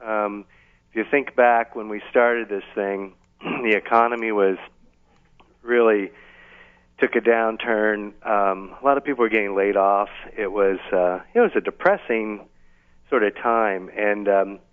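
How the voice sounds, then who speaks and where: A man being interviewed casually on the radio as an event organiser, explaining things in a halting way over a phone line. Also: fairly low pitch. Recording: thin, band-limited phone line.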